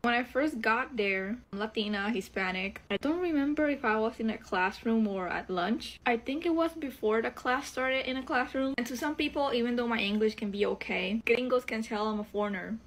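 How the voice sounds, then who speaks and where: A woman's voice: fairly high pitch, a little fast, hardly any pauses, rough recording. A woman with a Puerto Rican accent telling a casual story to camera in a sardonic way. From Puerto Rico. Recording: clear background noise.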